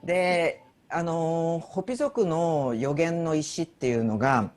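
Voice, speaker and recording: A man from Japan speaking as a guest at an online conference, in an animated, thoughtful way. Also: a little high, moderate pace.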